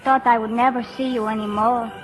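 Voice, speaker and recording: A small, shy Mexican country girl, played by a radio actress, innocent and earnest. Her voice is high, carried in long reverb with music underneath, in vintage radio drama style. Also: steady tone, a little fast, lightly acted. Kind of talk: 1940s radio acting.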